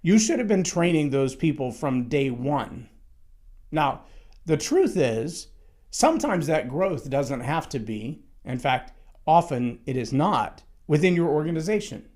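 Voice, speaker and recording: A man with a loud, somewhat high-pitched voice holds forth on leadership in a solo talk. He speaks emphatically and conversationally, doubling back on words as he thinks. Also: very animated, a little slow. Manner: conversational, emphatic, with frequent restarts.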